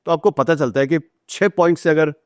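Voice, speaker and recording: An Indian-accented man speaks as a guest in conversation, his voice a little high and recorded very dry. He explains through anecdotes, stressing points by repeating them and posing rhetorical questions, and mixes in English phrases. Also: a little fast, no echo. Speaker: interview guest, author.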